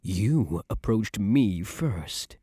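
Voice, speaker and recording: A man's voice: on the low side, expressive, very slow, mellow, slightly rough, dry recording, clean studio sound, exaggerated voice. A man playing a doting young mafia heir in an intimate ASMR boyfriend roleplay, speaking with tough, streetwise affection and self-assurance. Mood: fond reminiscing.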